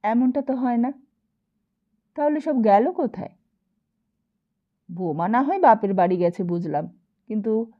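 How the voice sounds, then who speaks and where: A woman narrating a novel as an audiobook in an expressive, dramatic storytelling style. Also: on the high side, lo-fi sound.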